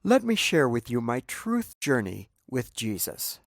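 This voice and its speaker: A man's voice: medium pitch, very animated, measured pace, creaky, no echo, studio quality. A man from Cambodia with a Cambodian accent, reading his own inspirational memoir as scripted narration in an earnest, preaching manner.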